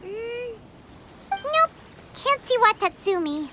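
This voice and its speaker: Game sidekick: little excitable fairy girl, piercingly high and squeaky, hugely swooping intonation. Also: slow, heavily acted. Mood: curious. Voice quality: squeaky, childlike voice. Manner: refers to self in third person, exclamatory. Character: Paimon, small excitable childlike fairy girl companion. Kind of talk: animated video game voice acting. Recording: loud music behind the voice. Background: game music.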